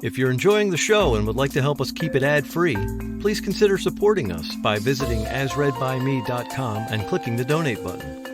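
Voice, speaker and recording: A man's voice: mid-range voice, lively intonation, fairly quick. A man reading a scripted podcast outro as the host. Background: marimba music.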